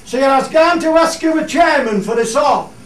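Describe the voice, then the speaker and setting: A man's voice: extremely high pitch, normal speed, a bit bright. A man acting a plain-spoken Cumbrian countryman in a dialect radio play, with homely, broad speech. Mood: homely grumbling. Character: plain-spoken Cumbrian countryman grumbling about meddling regulations. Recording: echoey room, distant microphone.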